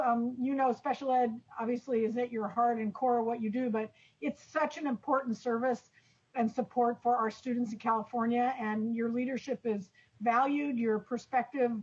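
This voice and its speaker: A woman's voice: on the high side, a little mellow, breathy edge. A woman board representative speaking in a friendly, chatty way on a video call. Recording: dull lo-fi video call audio.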